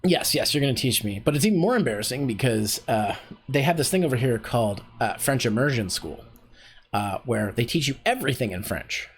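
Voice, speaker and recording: Chatty self-deprecating man, lively voice. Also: medium pitch, a little fast, breathy edge. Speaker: voice acting workshop host. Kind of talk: casual livestream teaching.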